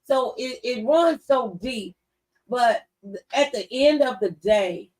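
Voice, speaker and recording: A woman's voice: fairly high pitch, animated, slow, a little mellow. Slightly distant, earnest woman host. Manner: earnest, repeats phrases for emphasis. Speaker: talk show host. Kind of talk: conversational talk show discussion.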